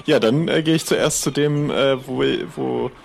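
Gravelly, chatty man role-playing. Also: medium pitch, fairly even intonation, a little slow, a little hiss or hum. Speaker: player in an improvised pirate role-play podcast. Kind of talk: casual in-character improvised dialogue.

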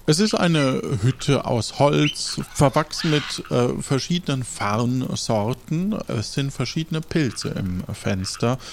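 A man's voice: mid-range voice, slow pace, gravelly, few pauses, a little hiss or hum. A man improvising tabletop game narration as game master, searching for his words as he describes scenes.

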